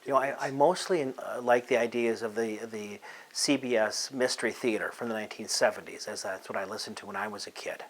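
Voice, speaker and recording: A middle-aged American man talking in a halting, thoughtful way in a casual interview. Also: on the low side. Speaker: theatre producer and stage actor.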